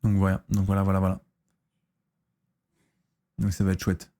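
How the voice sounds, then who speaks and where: A man in his thirties from France, chatting casually on a stream, answering questions and pausing often with fillers and restarts. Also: sits low, monotone, dark tone. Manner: hesitant, lots of fillers and restarts.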